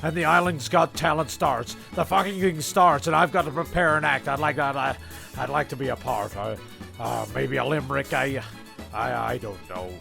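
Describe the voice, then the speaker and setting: A man's voice: mid-range voice, moderate pace, gravelly, bright, forceful tone, soft background music. A man narrating a comic audio story, rambling with sweary asides. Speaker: narrator and creator.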